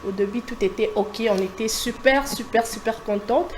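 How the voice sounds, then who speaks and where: A woman's voice: fairly high pitch, moderate pace. A woman hosting a conversational TV interview, putting questions to her guest. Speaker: talk show host interviewing a guest. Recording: clearly noisy background ambience.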